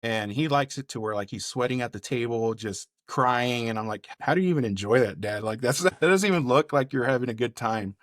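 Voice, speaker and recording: A man's voice: mid-range voice, fairly quick, few pauses, fairly bright tone, no echo, studio quality. A man from California who works as a chef, talking casually and in detail as a podcast guest, reminiscing in a conversational way. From Bakersfield, California. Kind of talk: casual interview storytelling.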